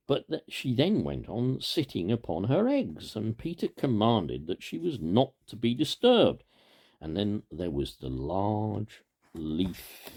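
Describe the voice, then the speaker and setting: A man reads a children's story aloud as a storyteller, with an expressive, emphatic lilt and a gravelly, husky voice. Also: mid-range voice.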